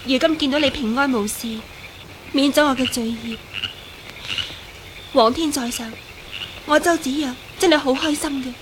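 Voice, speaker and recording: A woman's voice: sits high, moderate pace, long pauses, noisy background, lightly acted. An actress playing a composed young swordswoman in a radio drama, speaking formally and earnestly with contrition. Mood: contrite. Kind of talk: Cantonese radio drama acting.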